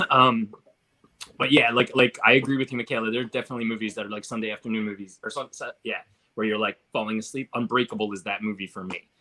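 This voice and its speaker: Lower-pitched, forceful-toned man. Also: fairly even intonation, fast talker, rough recording. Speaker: panelist on a film review show. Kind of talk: casual film discussion.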